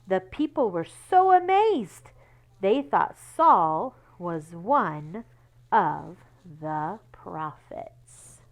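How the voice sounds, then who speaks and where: Woman, children's read-aloud, lively swooping intonation, unhurried pace, teacherly, explanatory asides. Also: lowish voice. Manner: instructive, explains words and checks understanding with children; expressive, emphatic storytelling.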